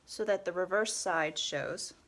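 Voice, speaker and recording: Slow, soft-spoken woman with a stuffy nose. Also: fairly low pitch. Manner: calm, unhurried, often drops to a soft whisper. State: stuffy from a cold. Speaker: head wrap tutorial host. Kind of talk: step-by-step tutorial.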